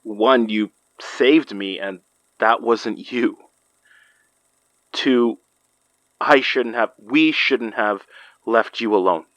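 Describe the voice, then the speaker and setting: An actor playing a caring, wry man in a horror audio drama, with halting, sincere, naturalistic delivery. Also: lowish voice, a bit bright, dry recording, muffled recording. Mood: apologetic. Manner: halting, sincere, dry humour. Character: caring, wry, apologetic ordinary man in supernatural trouble.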